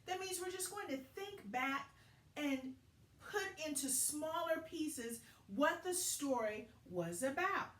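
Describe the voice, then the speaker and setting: A woman teacher giving an expressive read-aloud lesson for young children, with deliberate, dramatic phrasing. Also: high-pitched.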